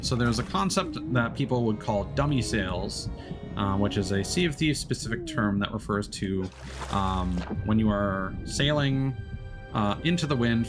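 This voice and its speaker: Low-voiced man explaining while gaming. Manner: relaxed, explanatory, frequent fillers. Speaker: streamer. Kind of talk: casual gameplay commentary.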